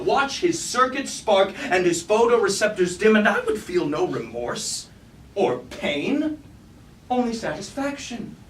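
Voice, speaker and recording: A man playing a young, proud, vengeful robot warrior, speaking fervently in the archaic, declamatory style of a staged Greek tragedy. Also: high-pitched. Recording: echoey room from far off, hiss.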